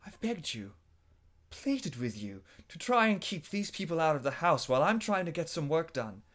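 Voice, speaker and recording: A man's voice: a little high, sing-song, moderate pace, fairly bright tone. A man playing a stern, exasperated, long-suffering husband, with a weary, indignant delivery in melodramatic radio horror style.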